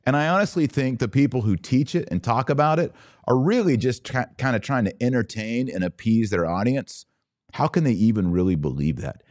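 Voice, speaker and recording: A man's voice: mid-range voice, lively intonation, a little fast, a little mellow. A man hosting a podcast, giving a blunt, informal religious commentary in an emphatic, preachy way. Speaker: podcast host.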